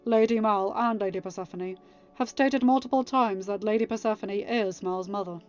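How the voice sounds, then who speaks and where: A woman's voice: medium pitch, normal speed, darkish tone, highs cut off, close to an everyday voice. Young storybook king read by a woman, firm, authoritative, formal, over faint music. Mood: stern, firm. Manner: firm, formal, hard-edged. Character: stern, commanding young king chairing a trial.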